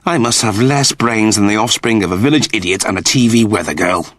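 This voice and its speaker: A man's voice: lowish voice, brisk, fairly bright tone, no echo, studio quality. A man voicing an irritable, cowardly, sarcastic man in an audiobook story, snippy and impatient. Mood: grudging.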